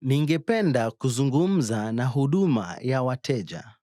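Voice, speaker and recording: A man demonstrating scripted phrases for a language drill, with careful, deliberate enunciation. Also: medium pitch, lively intonation, warm tone, no echo, clean studio sound. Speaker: native-speaker phrase demonstrator.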